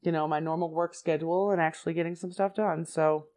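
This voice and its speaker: A woman hosting her own podcast, chatting casually and rambling with asides, her voice a little raspy and congested from a recent flu. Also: low-pitched, fairly even intonation, fast talker, a little mellow. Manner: chatty and rambling, many "like" and "you know" asides. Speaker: podcast host.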